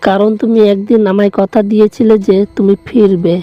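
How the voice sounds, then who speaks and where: An actor playing a mysterious, soft-spoken long-lost lover in an audio drama, slow, cryptic and intense. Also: male voice, high-pitched, level tone, no echo, muffled recording, soft background music, natural voice. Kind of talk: audio story drama acting.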